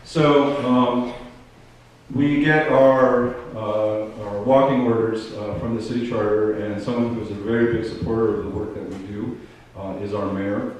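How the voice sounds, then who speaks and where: A man hosting a ceremony, giving a welcome speech with a halting, hesitant delivery. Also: lowish voice, fairly even intonation, darkish tone. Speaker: event host. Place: theater stage. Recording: distant, very echoey theater, faint noise.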